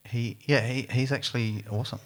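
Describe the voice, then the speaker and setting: A man's voice: medium pitch, steady tone, fairly quick. A man, a musician guest, chatting casually with a self-deprecating, laughing manner. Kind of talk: casual chat.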